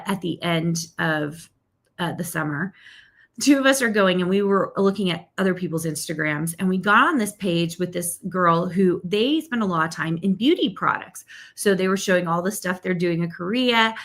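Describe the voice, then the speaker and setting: A woman's voice: mid-range voice, a bit bright. A middle-aged woman, a marketing coach, teaching live in an upbeat, chatty way, checking in with "okay?" and "right?", and saying she is losing her voice.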